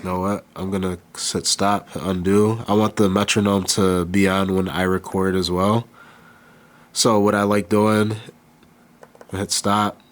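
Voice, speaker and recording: A man with a cold and a nasal voice, casually talking through a hands-on beatmaking tutorial, halting as he works. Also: low-pitched. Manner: relaxed, halting, thinks aloud while working. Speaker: beatmaker demonstrating gear. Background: beat playing behind.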